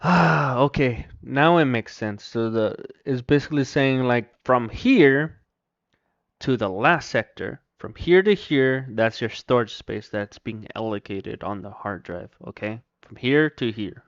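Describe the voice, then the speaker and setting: A man with a Mexican accent livestreaming his first software install, thinking aloud, muttering to himself and reading chat aloud. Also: medium pitch, a little slow, vocal fry, no room sound, dull audio. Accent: Mexican Spanish. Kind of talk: casual live commentary while installing software.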